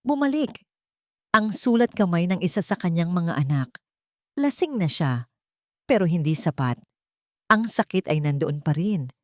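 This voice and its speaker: A woman's voice: on the low side, animated, normal speed, pauses often, no room sound, clean studio sound. A woman narrating a dramatic scripted story as an audiobook reader.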